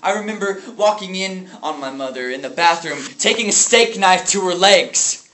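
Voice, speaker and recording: A man performing a dramatic stage monologue as a grieving, traumatized brother, anguished and bitter, speaking in halting phrases with heavy pauses. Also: medium pitch, a bit bright, distant mic, natural voice. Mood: bitter, haunted. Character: grieving, traumatized brother bitter about his abusive family. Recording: echoey room with faint noise.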